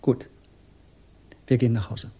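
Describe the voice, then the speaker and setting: An actor playing a gentle, brooding, devoted poet in a 1960s radio crime drama, soft-spoken and tender. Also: male voice, unhurried, lightly acted. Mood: subdued.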